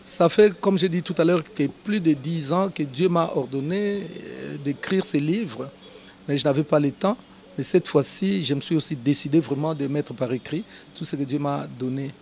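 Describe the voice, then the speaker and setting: A man from Congo, a pastor answering interview questions in a measured, preacherly way, with filler pauses. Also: a little high, gravelly, a little mellow. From Democratic Republic of the Congo.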